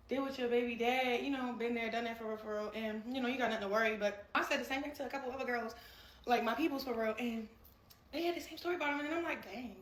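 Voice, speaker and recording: A woman in a played clip, telling a story quickly and with animation in casual conversation. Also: a little high, fairly bright tone, roomy sound.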